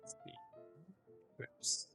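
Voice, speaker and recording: A man's voice: drawn-out words, bright, forceful tone, faint music behind. A man chatting casually on a livestream, thinking aloud in a hesitant, rambling way and often repeating himself. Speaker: live streamer.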